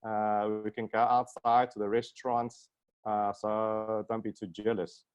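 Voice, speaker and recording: A man's voice: on the low side, flat delivery, unhurried, a little mellow, clean tone, dull audio. A middle-aged man with a South African accent giving an informal webinar lecture on a video call, joking and self-deprecating. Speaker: guest lecturer, plastic surgeon. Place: indoor room at home, video call.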